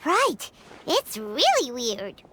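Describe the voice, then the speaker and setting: A woman voicing a small, squeaky, childlike female companion in a video game, excitable and curious. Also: drawn-out words, slight background noise, exaggerated voice. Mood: puzzled. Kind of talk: video game dialogue acting. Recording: music behind the voice.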